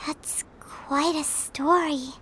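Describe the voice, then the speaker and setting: A tiny, excitable fairy sidekick from a video game, her voice pitched extremely high and glassy bright. She talks in an unhurried, drawn-out way, over soft game music. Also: a little hiss or hum, heavily acted, rough recording. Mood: puzzled. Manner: refers to herself by name, bubbly. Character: tiny floating female fairy companion, chatty and excitable.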